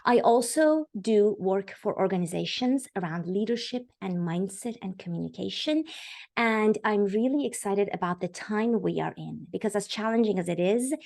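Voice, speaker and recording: A woman's voice: medium pitch, animated, moderate pace, gravelly. Enthusiastic middle-aged woman from Iran. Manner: warm, enthusiastic storytelling with fillers. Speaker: guest. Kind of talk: podcast interview.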